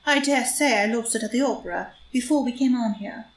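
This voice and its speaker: A sly society adventuress, played by a woman, poised and polite with veiled barbs. Her voice is fairly high, in a roomy play reading with some background noise. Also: brisk, lightly acted. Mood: smooth, polite. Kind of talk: group play reading for audio drama. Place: live in-person group reading in a room.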